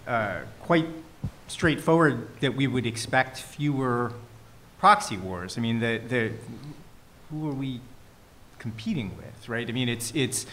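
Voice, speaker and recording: A mid-pitched male panelist works through his answer hesitantly, thinking aloud. Faint noise sits under the recording. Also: pushed and bright.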